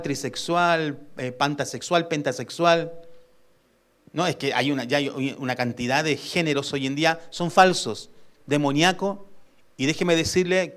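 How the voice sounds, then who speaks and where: A man preaching a sermon as pastor. He puts questions to the congregation and draws out key words for weight. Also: on the high side. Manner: emphatic preaching that asks the congregation for responses; swings between loud emphasis and soft asides.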